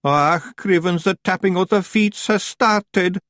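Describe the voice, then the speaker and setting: A man voicing tiny panicky fairy men who wail in squeaky Scottish voices. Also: measured pace, a little mellow, no echo, clean studio sound, lightly acted. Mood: panicked. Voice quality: wailing, squeaky.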